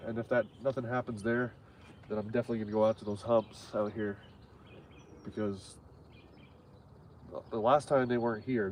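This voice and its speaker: Guy with a blocked-up nose rambling while he fishes, kinda low voice, out on the water with faint bird noise. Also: darkish tone. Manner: rambling, many fillers and restarts. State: stuffy nose. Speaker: fishing livestream host. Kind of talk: casual live fishing commentary. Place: outdoors on a lake, fishing.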